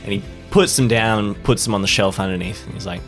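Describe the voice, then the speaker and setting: A man tells an improvised scene in casual, loose narration. He has a lowish voice over faint music. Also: fast talker. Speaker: player in an improvised drama podcast.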